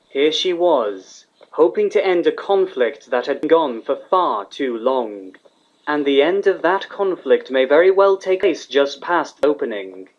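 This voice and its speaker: A man reading fan fiction aloud as a scripted story narrator. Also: medium pitch. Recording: dull, lo-fi sound.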